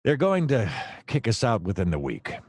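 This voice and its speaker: An actor playing a seedy, self-deluded private detective, narrating a comic noir radio play in a deadpan, melodramatic way. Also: male voice, lowish voice, moderate pace, no room sound, clean studio sound. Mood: melodramatic, wry. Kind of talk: hardboiled noir first-person narration, comedic radio play.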